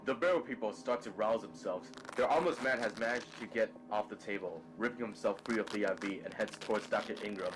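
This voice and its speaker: A man with a fairly low voice serves as narrator of a scripted drama, describing the action in the present tense. Music is clearly heard behind him. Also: brisk, few pauses, rough recording.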